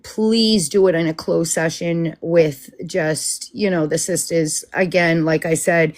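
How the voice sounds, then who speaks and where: A woman's voice: lowish voice, fairly even intonation, measured pace. A chatty woman with a Boston accent talks loosely on a video call. She trails off, restarts her sentences and fills the gaps with little filler sounds. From Massachusetts. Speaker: witch leading a spiritual group. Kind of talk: casual group video chat.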